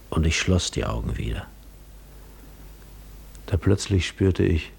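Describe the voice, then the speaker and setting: An actor playing a weary, wounded seafarer in an introspective, brooding monologue for 1950s German radio drama. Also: male voice, low voice, vocal fry, darkish tone, heavily acted. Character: weary wounded seafarer recalling a dreamlike waking.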